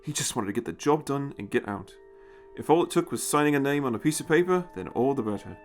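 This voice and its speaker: Expressive man narrating a sci-fi story. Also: medium pitch, quick pace, music in the background. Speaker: audiobook narrator. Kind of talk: scripted dramatic story narration.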